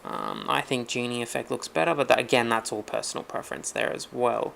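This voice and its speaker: Man, casual tech tutorial host, gravelly fry, easygoing and loose with plenty of ums. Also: medium pitch, fairly quick, a little hiss or hum. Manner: relaxed, rambling, many ums. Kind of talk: casual screen-recorded walkthrough.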